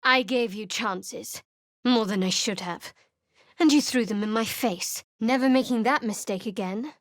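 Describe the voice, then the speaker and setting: An actress voicing a guarded, sharp-tongued young female cleric in a video game, with a dry, clipped delivery. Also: a little high, unhurried, dry recording, lightly acted. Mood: guarded, wry. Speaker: game character.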